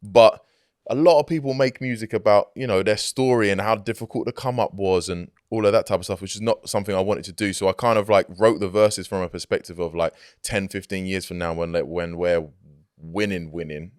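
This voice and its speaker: A man's voice: deep voice, fairly even intonation, fairly quick, smooth, dry recording, studio quality. A young man, a hip-hop artist, talking casually in an interview, laid-back and full of "like" and "you know what I mean". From Greek heritage, grew up outside Greece. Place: recording studio.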